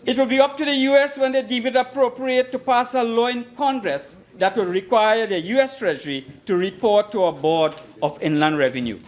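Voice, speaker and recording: A man's voice: very high-pitched, a little fast, few pauses. Emphatic Trinidadian man debating in parliament. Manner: emphatic, oratorical, repeats phrases for effect. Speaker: opposition member of parliament. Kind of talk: formal parliamentary debate speech. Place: parliament chamber.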